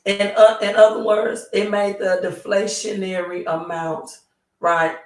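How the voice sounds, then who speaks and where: A hoarse woman hosting a live stream, talking slowly and pausing often to ask "right?". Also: medium pitch, flat delivery, a little mellow. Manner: slow, halting, repeats "right?". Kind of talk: casual live stream talk. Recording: roomy, distant mic.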